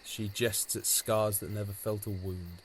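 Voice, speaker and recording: A man's voice: lowish voice, fairly even intonation, a little slow, noisy background, natural voice. An actor playing a yearning, lovesick young nobleman in an amateur Shakespeare audio drama, recorded at home on a phone. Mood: rapt, yearning.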